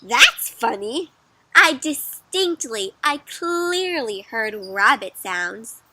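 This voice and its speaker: A woman's voice: on the high side, big pitch swings, drawn-out words, very noisy, exaggerated voice. A woman voicing a stubborn, contrary picture-book character who draws out words emphatically for young children. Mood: firm, contradicting. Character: stubborn contrarian person sure they see a rabbit.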